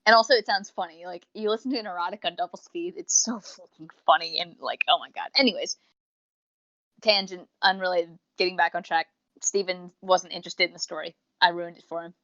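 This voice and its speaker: A woman's voice: lowish voice, steady tone, a little fast, fairly bright tone, dry recording, muffled recording. A woman co-hosting a review podcast, chatting casually and effusively, rambling off into tangents. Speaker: podcast co-host reviewing audio dramas. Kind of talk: casual unscripted review chat.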